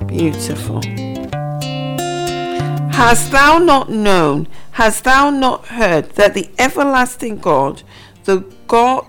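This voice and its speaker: Female radio Bible teacher, unhurried and reflective, soft guitar bed under her. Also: a little high. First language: not Hebrew. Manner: slow, reflective, reads scripture aloud. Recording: faint guitar music behind. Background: soft guitar music.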